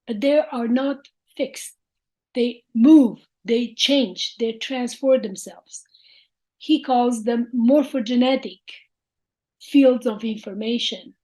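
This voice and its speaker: Slow, measured Slovene-accented woman lecturer. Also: fairly high pitch, warm tone. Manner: slow, measured and reflective, with hesitant fillers. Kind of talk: academic lecture.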